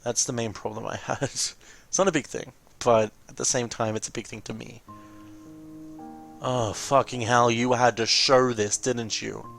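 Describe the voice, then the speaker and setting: A man giving casual, rambling game commentary as a let's play host, informal and often correcting himself mid-sentence, with a creaky voice. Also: medium pitch, fairly even intonation, normal speed, a bit bright, soft background music.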